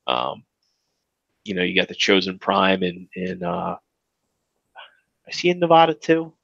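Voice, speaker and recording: A man's voice: sits low, sing-song, unhurried, creaky, a bit bright, no room sound, highs cut off. A man with a Maryland accent co-hosting a podcast, rambling casually with lots of fillers and restarts. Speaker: podcast co-host. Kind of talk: casual chat.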